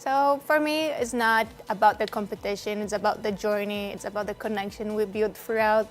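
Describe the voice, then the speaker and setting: A woman's voice: mid-range voice, steady tone, fairly quick. Young woman from Brazil, pageant guest on a talk show; friendly, code-switching, light studio music bed. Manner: mixes English with Filipino phrases, friendly. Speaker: beauty queen guest.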